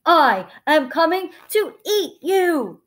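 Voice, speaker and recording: A big hairy troll from a children's storybook, put on by a woman, mean, hungry and demanding. The voice booms and growls very slowly, swinging loudly up and down on stretched-out words. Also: big pitch swings, heavily acted. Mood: gleeful, hungry. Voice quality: booming, growling. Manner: slow, drawn-out, demanding.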